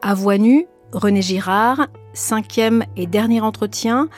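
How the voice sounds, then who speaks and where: A woman presenter reading a measured scripted introduction for a radio program. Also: medium pitch, soft background music. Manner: measured, announcing. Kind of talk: scripted narration.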